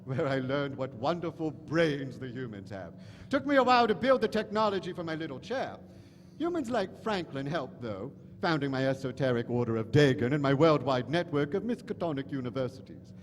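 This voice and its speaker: An actor playing an eccentric alien mad professor, theatrical, gushing and disdainful, callous toward humans, in a live radio play. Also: male voice, medium pitch, expressive, fairly quick, lightly acted. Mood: wistful, scheming. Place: large hall stage at a convention. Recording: echoey hall stage recording, faint noise.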